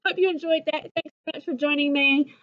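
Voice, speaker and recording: A woman in her thirties with a New Zealand accent reads a children's picture book aloud with an expressive, sing-song rhyming delivery. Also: high voice, fairly quick, muffled recording. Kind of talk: storybook read-aloud for children. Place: living room at home. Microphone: webcam at arm's length.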